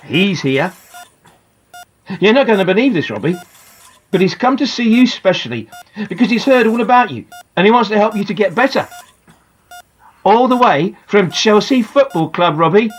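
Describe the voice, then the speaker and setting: A woman voicing a father who is excited and trying to hide it, building up hesitantly in an audiobook drama. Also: mid-range voice, brisk, husky, pitched above the performer's own voice. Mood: proud reveal.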